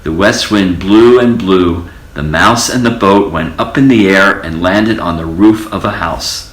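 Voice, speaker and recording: A man reading a children's storybook aloud as an expressive storyteller, in an echoey room. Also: low-pitched, unhurried. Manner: expressive storytelling with character voices. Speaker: storybook reader.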